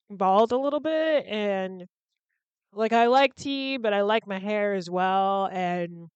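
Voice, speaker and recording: Slow, very smooth woman. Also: mid-range voice, no room sound, dull audio. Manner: rambling and candid, with frequent "like" and casual swearing. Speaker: podcast host. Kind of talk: solo podcast chat.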